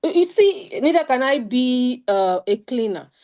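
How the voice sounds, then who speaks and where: A woman's voice: sits high, big pitch swings, unhurried, a little mellow. A young woman with a Nigerian accent sharing her study-abroad experience in an earnest, emphatic way on a video call. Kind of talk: casual panel talk.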